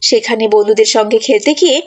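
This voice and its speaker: A woman's voice: a little high, brisk. A woman narrating a scripted moral story for children as its storyteller.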